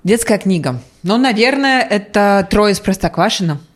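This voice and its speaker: A woman's voice: mid-range voice, expressive, normal speed. Easygoing woman telling a story. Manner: easygoing storyteller, speaks to viewers directly. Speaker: video co-host. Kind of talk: casual chat, telling anecdotes.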